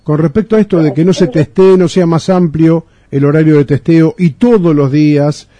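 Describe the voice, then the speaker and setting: A man's voice: a little high, steady tone, normal speed, warm tone, no echo. A man hosting a radio show, talking in an indignant, emphatic way and repeating his points. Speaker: radio host. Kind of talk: radio talk.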